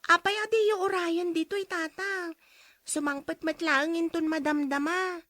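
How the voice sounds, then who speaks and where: A woman playing a gentle, concerned young daughter in a radio drama, speaking in a plaintive, questioning way. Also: very high voice, fairly even intonation, unhurried, no echo, studio quality. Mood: placating.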